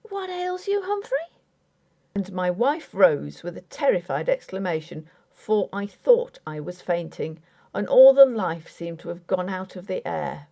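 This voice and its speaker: Expressive, mellow woman narrating a story. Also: lowish voice, gravelly. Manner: measured, literary, dramatic. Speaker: audiobook narrator.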